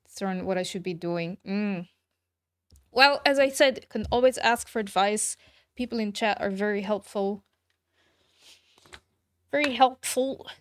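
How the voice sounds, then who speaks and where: A woman's voice: medium pitch, measured pace, long pauses, no echo. A woman game streamer chatting casually with her viewers. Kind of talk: casual livestream chat. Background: soft background music.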